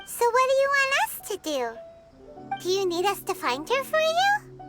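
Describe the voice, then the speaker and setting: Tiny childlike game companion, squeaky, extremely high, hugely animated, chirpy, eager. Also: female voice, unhurried, over music, full character voice. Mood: curious. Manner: eager, speaks of herself in third person. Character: tiny childlike floating companion girl, chirpy and food-loving. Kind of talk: video game character dialogue.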